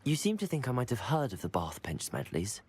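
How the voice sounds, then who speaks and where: A man's voice: medium pitch, wildly swooping pitch, brisk, a little mellow, faint music behind. A man playing a polite, curious young student hero in a video game, with a courteous manner. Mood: curious, friendly. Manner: courteous, inquisitive. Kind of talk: video game character acting.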